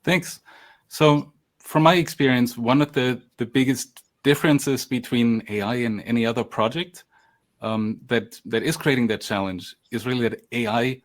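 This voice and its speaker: A man speaking on a panel in a measured, articulate way. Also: medium pitch. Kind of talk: panel discussion.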